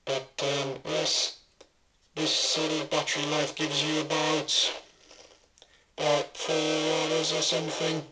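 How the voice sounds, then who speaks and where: A man's voice: on the high side, completely flat delivery, slow pace, sharp tone, echoey room, soft background music, low-quality recording. A middle-aged man giving a casual demonstration in a hesitant way, his voice run through a robotic ring modulator effect. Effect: ring modulator robot voice changer. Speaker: prop maker. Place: small domestic room, indoors. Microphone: camera a short distance away.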